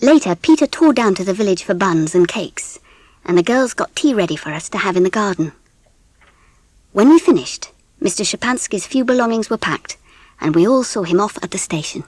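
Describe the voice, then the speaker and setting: A woman playing a gentle English mother, narrating a dramatised children's audiobook expressively. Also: mid-range voice, moderate pace, no room sound, close to an everyday voice. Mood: tender. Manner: expressive storytelling.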